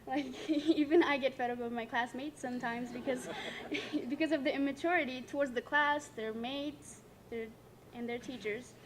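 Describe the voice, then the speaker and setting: Giggly high-voiced young woman. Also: fairly even intonation, normal speed, a crowd in the background. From Nepal. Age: late teens. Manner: giggly and self-conscious, laughing between lines. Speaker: student giving a tribute speech. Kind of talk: prepared speech to an audience.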